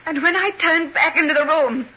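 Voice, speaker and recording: High, frantic young woman. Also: bright, forceful tone. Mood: horrified, haunted. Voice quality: high, tremulous voice. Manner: anguished, breathless, halting dramatic delivery. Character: terrified, frantic young woman pleading she is sane. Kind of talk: old-time radio melodrama acting. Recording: muffled, noisy vintage radio recording.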